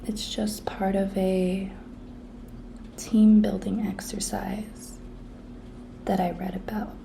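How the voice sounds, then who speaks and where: A woman playing a cute, pushy young intern coaxing her boss in an intimate, breathy ASMR whisper, coy and teasing. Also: mid-range voice, level tone, slow, a little hiss or hum. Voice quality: breathy whisper, soft-spoken. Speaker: ASMR roleplay performer.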